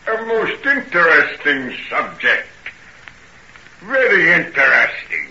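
An actor playing a sinister, gloating villain with mocking, theatrical courtesy in an old radio drama. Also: male voice, fairly high pitch, sing-song, bright, forceful tone, exaggerated voice. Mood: sly, amused. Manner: slow, theatrical, mockingly polite. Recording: muffled noisy old radio recording.

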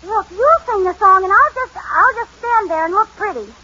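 A very high, bright woman's voice an excitable, wisecracking housewife from a radio sitcom. She exclaims dramatically and swings through lively pitches. The old recording is muffled and faintly noisy. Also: moderate pace. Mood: excited, flustered.

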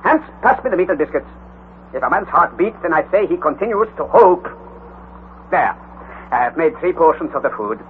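A bold older scientist uncle. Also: male voice, fairly high pitch, very animated, moderate pace, pauses often. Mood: steady, resolute. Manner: theatrical, authoritative. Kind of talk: 1940s radio acting. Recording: tinny old radio broadcast with faint hiss.

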